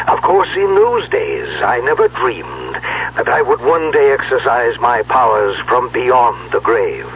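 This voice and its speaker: A man playing a theatrical magician patriarch in a 1970s radio drama, speaking in grandiose, deliberate, formal phrases. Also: vocal fry, heavily acted. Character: dead magician patriarch, theatrical, speaking through a recorded will. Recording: dull noisy old radio recording, low hum.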